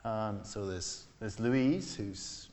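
A man's voice: on the low side, drawn-out words, darkish tone. A middle-aged man, an astronomer from England who grew up in Scotland, answering onstage questions in a mild, hesitant way with dry wit. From England, raised in Scotland. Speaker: astronomer guest. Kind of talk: onstage interview. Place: hall with live audience. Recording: echoey hall.

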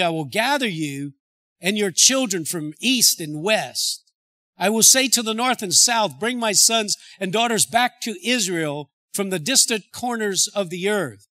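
A man's voice: high-pitched, pushed and bright. A man, the church's pastor, earnestly introducing a guest speaker and reading scripture. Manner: earnest, with restarts. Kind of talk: sermon introduction.

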